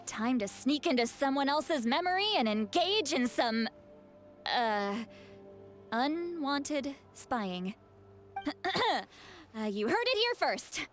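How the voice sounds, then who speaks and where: Feisty game shaman, fairly high voice, self-assured and dramatic, protective mentor, light music behind. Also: heavily acted. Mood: relenting, determined. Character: proud, feisty female shaman mentor, grandmotherly yet girlish, guilt-ridden, protective. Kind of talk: video game voice acting.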